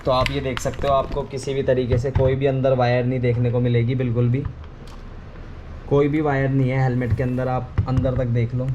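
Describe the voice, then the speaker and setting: A man's voice: medium pitch, level tone, moderate pace, muffled recording. A man giving an informal hands-on tutorial, explaining things step by step and often repeating himself. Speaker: video creator giving a how-to. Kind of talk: casual hands-on tutorial chat. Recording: clearly noisy ambient background.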